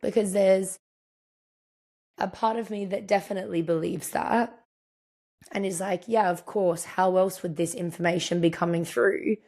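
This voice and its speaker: A young woman with a croaky, partly lost voice, chatting reflectively as a podcast host and voicing her own thoughts as she tells a story. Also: on the low side, steady tone, unhurried, a little mellow. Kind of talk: casual storytelling monologue.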